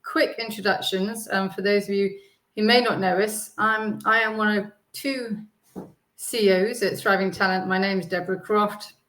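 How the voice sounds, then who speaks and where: A baby-boomer woman in her sixties co-hosting a live online work talk over a video call, measured and thoughtful with frequent ums. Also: steady tone, fairly bright tone, reverberant. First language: English. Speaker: co-CEO and host. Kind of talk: webinar conversation.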